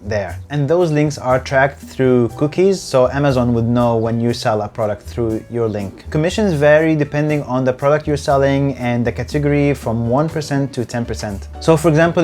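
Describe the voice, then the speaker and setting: A man's voice: mid-range voice, darkish tone. A man who speaks English as a later language, giving a scripted, earnest tutorial as host of his own channel, a little stiff on camera. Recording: faint background music.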